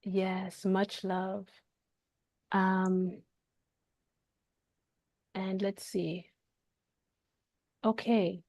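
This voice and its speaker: A woman's voice: mid-range voice, little pitch movement, extremely slow, vocal fry, pauses often, a little mellow, no echo. A woman hosting a casual livestream, talking in a rambling way with many restarts and fillers. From Canada. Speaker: livestream host.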